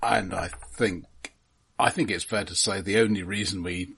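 A man's voice: low-pitched, fairly even intonation, moderate pace, creaky, a bit bright, slightly rough. A man co-hosting a film podcast, analysing films casually in a dry, opinionated way.

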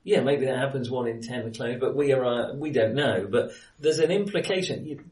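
A man's voice: medium pitch, normal speed, a little mellow. A man co-hosting a casual film discussion, thinking aloud in a speculative, questioning way. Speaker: podcast co-host. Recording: roomy, distant from the mic.

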